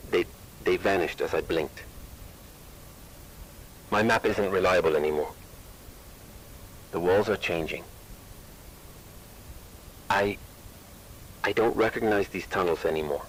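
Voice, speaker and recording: A man playing a frightened explorer trapped underground, speaking in halting, broken phrases in an analog horror tape. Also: a bit bright, exaggerated voice. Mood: frightened, disoriented. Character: frightened trapped male explorer lost in shifting tunnels. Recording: noisy found-tape recording with rumble.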